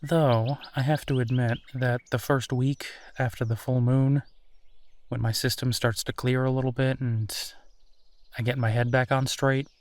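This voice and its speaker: A man playing a friendly, easygoing werewolf boyfriend in human form, talking in a musing, conversational way with hesitant pauses in an intimate roleplay audio. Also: medium pitch, little pitch movement, a little mellow, natural voice. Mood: warm, casual.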